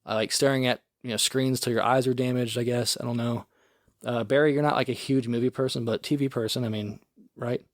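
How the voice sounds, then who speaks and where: A man in a relaxed podcast chat, his voice sitting at an ordinary middle pitch. He rambles loosely and fills his sentences with "like" and "you know." The sound is close and dry, with no room around it. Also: fairly quick, no echo. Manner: rambling, filler-heavy, often says "like". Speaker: podcast co-host. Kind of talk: casual chat.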